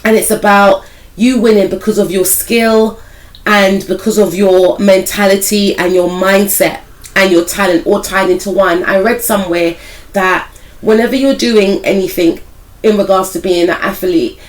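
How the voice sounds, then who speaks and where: A woman reads tarot for her viewers in a loose, conversational way, her voice a little croaky from a cold. She wanders from point to point and keeps softening each claim with what she feels. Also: mid-range voice, fairly even intonation, normal speed, rough recording. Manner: rambling, hedged with "I feel like"; speaks directly to viewers as "some of you". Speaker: tarot reader and spiritual life coach. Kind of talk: casual intuitive tarot reading to viewers.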